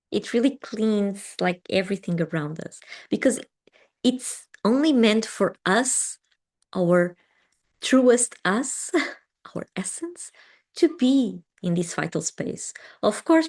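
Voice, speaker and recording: A woman with a fairly low voice speaks slowly and softly. She is not a native English speaker. She guides a live meditation class, answers chat comments with gentle affirmations and laughs lightly as she goes. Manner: gentle and affirming, laughs often, with pauses and ums. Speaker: meditation teacher.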